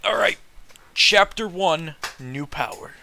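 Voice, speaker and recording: Bright-voiced man, foul-mouthed read-aloud commentator. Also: high voice, expressive, slow, gravelly, no room sound. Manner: foul-mouthed, incredulous, breaks off to react. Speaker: host reading fan fiction aloud and commenting.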